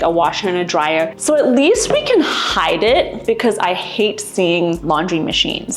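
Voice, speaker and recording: A woman vlog host from Singapore giving a casual, chatty house tour indoors, explaining things conversationally to camera. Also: medium pitch. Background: background music.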